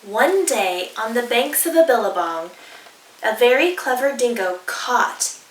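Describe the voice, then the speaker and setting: Slow, high-pitched woman reading a storybook. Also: slight background noise. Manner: deliberate, animated, inviting participation. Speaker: teacher reading aloud. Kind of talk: expressive children's storybook reading. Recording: roomy echo, distant mic.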